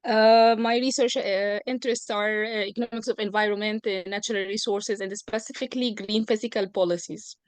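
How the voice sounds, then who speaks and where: A woman's voice: medium pitch, fairly even intonation, a little fast, few pauses, clear, resonant tone, no room sound. A woman from Egypt, giving an orderly self-introduction as a lecturer assistant on a video call. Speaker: lecturer assistant and PhD student.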